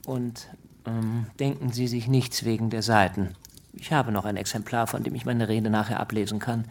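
An actor playing an earnest, stubborn and unassuming young politician in a radio drama, polite, precise and self-assured. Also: male voice, fairly low pitch, steady tone, moderate pace, close to an everyday voice. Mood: quietly self-assured. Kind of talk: German radio drama acting.

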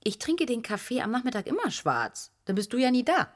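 A woman playing a playful, teasing young flatmate in German radio drama dialogue. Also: on the high side, a little fast.

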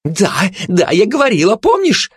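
A man voicing an excitable, gossipy female dog in an audiobook, fretful and nagging. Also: high-pitched, a little slow, no echo, studio quality, pitched above the performer's own voice.

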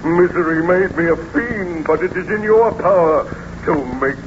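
An actor playing an embittered, wretched monster in a 1930s radio serial, melodramatic and formal, pleading and menacing by turns. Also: male voice, high voice, moderate pace, natural voice. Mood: aggrieved, pleading. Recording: noisy, muffled 1930s radio recording.

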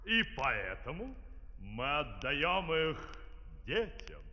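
A man's voice: a little high, very animated, slow pace, pushed and bright, exaggerated voice. An actor playing a grand, pompous, scheming father and official, in theatrical ceremonious fairy-tale stage style. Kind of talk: 1970s Soviet musical fairy-tale stage acting. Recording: reverberant old vinyl studio recording.

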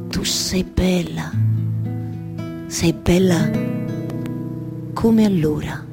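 Casting: lovelorn waiting wife of myth, Roman-accented stage monologue, slow, halting, incantatory delivery over music. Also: female voice, on the low side. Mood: tender, wistful. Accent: central Italian, Roman. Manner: incantatory, repeats words and phrases, halting. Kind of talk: theatrical dramatic monologue with live music.

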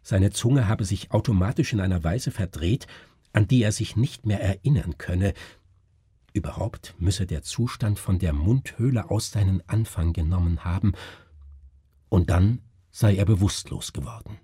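A man reading a gothic story as scripted narration, with a husky voice and a grave, theatrical manner. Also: sits low, normal speed, dark tone, dry recording, clean studio sound. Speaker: reader of a literary story.

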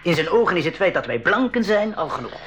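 A man's voice: high-pitched, sing-song, fast, a bit bright, faint music behind. A man playing a practical go-between among travellers in a school radio drama, explaining things in a matter-of-fact way. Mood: earnest, explaining.

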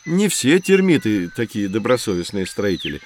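A man playing a knowledgeable guide who teaches children in a didactic, expressive way in an educational audio drama. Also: fairly low pitch, normal speed, noisy background. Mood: explanatory.

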